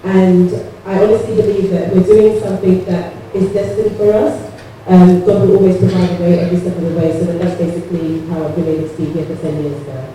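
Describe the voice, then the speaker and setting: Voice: medium pitch, little pitch movement, a little fast, warm tone, a little hiss or hum. A conference panelist speaking reflectively about faith and a calling. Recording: echoey hall heard from far off.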